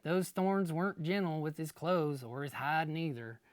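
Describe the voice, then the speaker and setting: A storyteller reading a children's tale aloud in a folksy, expressive style, with dramatic emphasis and deliberate phrasing. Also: mid-range voice, dry recording.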